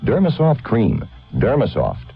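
A male commercial announcer with a deep voice sells in a scripted radio spot. His intonation swings widely, and the recording is dull and lo-fi. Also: huge pitch swings, measured pace, gravelly, slightly rough.

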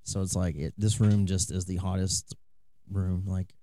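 A man's voice: deep voice, little pitch movement, unhurried, mellow. A man co-hosting a casual podcast from a small room, chatting easily and laughing often. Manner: laughs often, easygoing banter. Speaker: podcast co-host. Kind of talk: casual chat. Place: hot small room indoors.

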